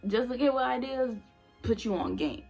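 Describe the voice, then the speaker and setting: A woman's voice: fairly high pitch, animated, normal speed, a little mellow, faint music behind, low-quality recording. A young woman, a former nursing assistant, telling her story in a casual vlog, blunt and emphatic, repeating her points for effect.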